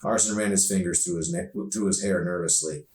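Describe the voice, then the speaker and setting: A man's voice: low voice, expressive, fairly quick, a little mellow. A man reading his own novel aloud as scripted audiobook narration. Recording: roomy echo, distant microphone.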